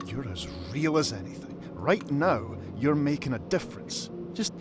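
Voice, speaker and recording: A man's voice: mid-range voice, sing-song, close to an everyday voice. Actor as an earnest male ally, music underneath. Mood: reassuring. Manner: sincere, urgent, deliberate phrasing. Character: earnest, reassuring male ally in secret resistance. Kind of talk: video game voice acting.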